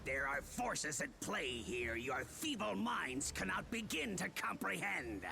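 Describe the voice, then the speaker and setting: Gravelly, grandiose villain mastermind. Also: male voice, fairly high pitch, very animated, exaggerated voice. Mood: condescending menace. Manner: pompous, grandiose, drawn-out delivery. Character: megalomaniac, sneering villain mastermind with psionic powers. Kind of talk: theatrical video game voice acting. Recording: voice over loud background noise.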